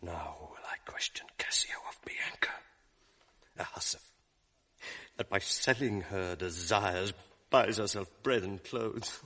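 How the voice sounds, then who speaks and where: A man's voice: low voice, takes it slowly, bright, forceful tone, exaggerated voice. An actor playing a cunning, mocking male ensign who whispers sly asides, in a 1980s radio drama. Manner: sly, taunting, confiding asides.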